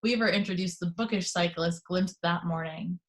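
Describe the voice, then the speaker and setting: A woman's voice: on the low side, moderate pace. A woman reading scene headings and action lines as narrator of a script table read.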